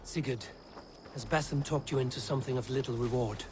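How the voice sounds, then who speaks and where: An actor playing a blunt, sceptical Norse warrior in a video game, dry and challenging. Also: male voice, mid-range voice, brisk, close to an everyday voice. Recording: game dialogue over clear ambient noise.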